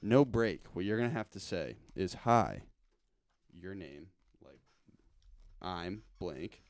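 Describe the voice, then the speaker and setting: A young man hosting a podcast in a recording studio, relaxed and jokey, calling people bro. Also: deep voice, takes it slowly, a little hiss or hum. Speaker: podcast host. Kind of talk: casual podcast interview.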